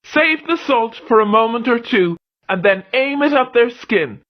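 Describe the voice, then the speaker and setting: A woman's voice: a little high, lively intonation, a little slow, pitched above the performer's own voice. A woman voicing a bold male clan leader in a children's story, giving emphatic orders with determination, in slightly muffled sound. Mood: instructing.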